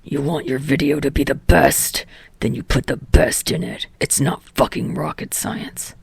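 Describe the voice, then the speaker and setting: A woman voicing an arrogant, gruff young male celebrity in an audiobook story, with a low raspy voice and a curt, scoffing manner. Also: steady tone, a little slow, no room sound, exaggerated voice. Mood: irritated.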